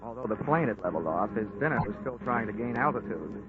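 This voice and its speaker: A man's voice: fairly low pitch, expressive, fast. Wisecracking man narrating a detective drama. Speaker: insurance investigator and narrator. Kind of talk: 1940s radio acting. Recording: lo-fi old radio recording with music.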